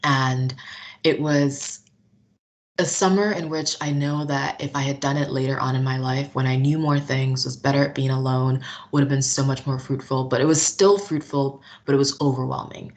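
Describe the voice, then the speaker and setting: A woman's voice: rumbling low, fairly even intonation, moderate pace. A young woman who grew up between Nigeria and the US, with an American accent, chatting as an interview guest who laughs often and fills with "um" and "like". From Nigeria, raised in South Florida. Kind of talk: casual interview conversation.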